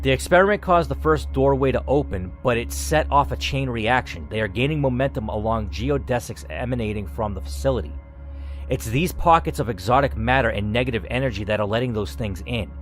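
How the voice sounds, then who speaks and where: Brisk, dry woman scientist, voiced by a man. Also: mid-range voice, faint music behind, close to an everyday voice. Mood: grave.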